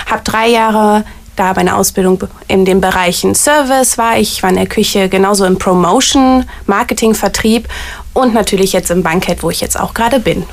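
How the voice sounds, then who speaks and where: A young woman from Germany who works as an artist liaison, answering interview questions in an eager, chatty way and explaining things in long lists. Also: mid-range voice. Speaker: artist liaison at a variety theater.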